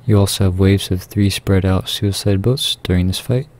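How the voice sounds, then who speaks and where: A man reading a scripted video game guide in a measured, instructional way. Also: sits low, fairly even intonation, a little mellow. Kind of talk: scripted guide narration over gameplay.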